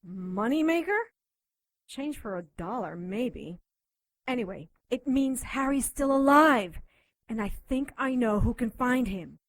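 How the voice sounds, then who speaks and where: An actress playing a tough, sardonic occultist doctor in an audio drama, commanding and quick to threaten. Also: female voice, fairly high pitch, expressive, no room sound.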